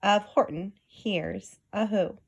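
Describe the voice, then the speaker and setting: A woman with a mid-pitched voice reads aloud as a storyteller for children. She moves between book narration and simple explanatory asides to her young listeners. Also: slow pace, vocal fry, muffled recording, background noise.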